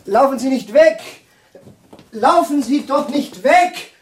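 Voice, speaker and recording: An actor playing an agitated, eccentric male scientist haunted by war in a radio play, with a husky voice and an intense manner. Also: extremely high pitch, expressive, a little hiss or hum, heavily acted. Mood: frantic, insistent. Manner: slow, intense, swinging from cries to hushed brooding. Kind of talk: dramatic radio play acting.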